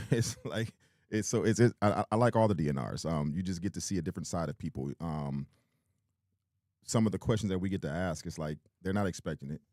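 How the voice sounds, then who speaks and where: A man with a very deep, low voice co-hosting a podcast. He talks in a laid-back, teasing way. The sound is dry and close. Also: brisk, a little mellow, dry recording. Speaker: podcast co-host. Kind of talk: casual chat.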